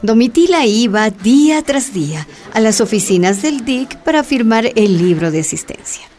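A woman's voice: a little high, big pitch swings, normal speed, a little mellow. Woman narrating radio drama over light music.

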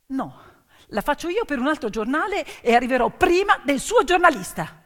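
A woman's voice: high-pitched, big pitch swings, moderate pace, fairly bright tone, in a large hall, pitched above the performer's own voice. A woman playing a determined, daring young female reporter in a stage story, speaking with resolute deliberation. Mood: defiant. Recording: piano music behind the voice.

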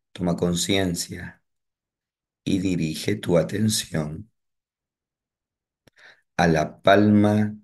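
A man leading a guided morning meditation, giving calm, repetitive breathing instructions with long pauses. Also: sits low, vocal fry.

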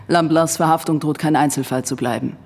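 A woman's voice: deep voice, fairly even intonation, normal speed, a little mellow, husky, big-room sound. A woman playing an assured, predatory businesswoman in her forties in a radio drama, poised and coolly pressuring. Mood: amused, threatening. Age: middle-aged. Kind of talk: German radio drama acting.

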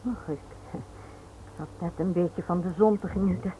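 An actress playing a reserved, polite titled lady in a radio play, flustered and halting. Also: lowish voice, natural voice. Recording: tinny, noisy old radio drama recording.

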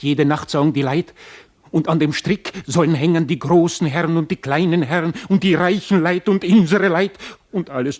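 An actor playing a folksy, lamenting news-bearer, rambling obsequiously in dialect-coloured speech in a radio play. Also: male voice, a little high, creaky, darkish tone. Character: folksy, lamenting gossip bringing news to his master. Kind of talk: theatrical radio drama acting.

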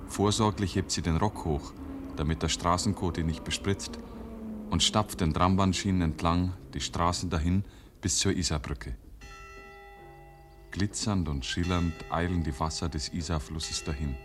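An actor narrating a 1950s radio play as an earnest, literary storyteller. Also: male voice, low voice, fairly even intonation, normal speed, music in the background, lightly acted. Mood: solemn, descriptive. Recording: hissy, noisy 1950s radio recording.

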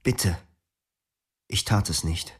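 First-person narrator for a radio drama: low, husky, reflective male voice. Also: takes it slowly. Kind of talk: scripted memoir narration. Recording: music behind.

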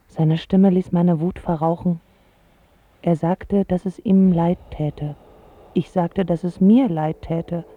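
A woman's voice: on the low side, steady tone, slow, dry recording. An actress playing a vulnerable young woman in love, telling of her boyfriend in short, confiding phrases in a radio drama. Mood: relieved. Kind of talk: radio drama, intimate first-person narration. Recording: thin phone-like band-limited sound.

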